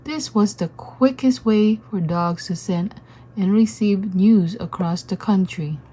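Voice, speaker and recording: A woman reading a children's story aloud as scripted narration, with deliberate, expressive phrasing. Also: medium pitch, poor audio. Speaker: storybook reader.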